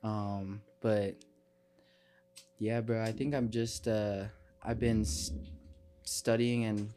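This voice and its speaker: A young man of twenty, raised in Chicago, speaks as an interview guest. His voice sits a little low and his delivery is conversational and informal. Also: level tone, slow pace, dark tone, soft background music. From Chicago, United States. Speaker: podcast guest, dancer and performer. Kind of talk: casual interview chat.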